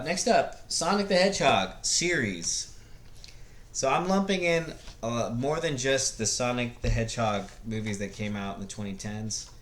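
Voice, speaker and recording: A man giving casual, unscripted movie commentary as a video host, often halting to search for words. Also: lowish voice, a bit bright. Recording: echoey room, faint background noise.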